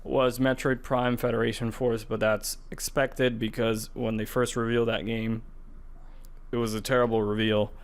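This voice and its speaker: A man's voice: medium pitch, level tone, normal speed, smooth. A man hosting a podcast, casually discussing gaming news in a conversational way, often restarting his phrases. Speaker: podcast host.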